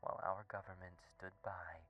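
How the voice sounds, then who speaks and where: Guy doing a grave political ad voiceover, real low and slow, music under it, quiet like playback. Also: level tone, poor audio. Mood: solemn, urgent. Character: grave political attack ad announcer. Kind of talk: scripted ad narration within audio drama.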